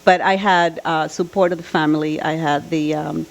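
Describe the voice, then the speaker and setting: A middle-aged woman with a low voice and a Dominican Spanish accent speaks as a candidate at a public forum. Her delivery is earnest and measured, with deliberate pauses. The sound is slightly dull, as from a live event microphone. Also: fairly even intonation, vocal fry. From Dominican Republic. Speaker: college administrator and job candidate. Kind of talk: public address and candidate question-and-answer.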